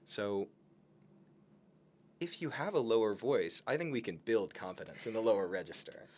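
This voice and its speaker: Low-voiced man chatting as podcast co-host. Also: big pitch swings, moderate pace. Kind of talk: casual conversation about self-improvement.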